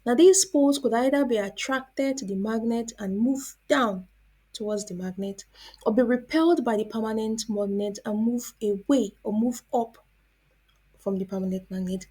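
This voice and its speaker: Woman science teacher, higher voice with a slightly husky edge, deliberate scripted explainer delivery. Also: lively intonation, warm tone. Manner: deliberate, explanatory, poses questions then answers them. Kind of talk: scripted educational narration.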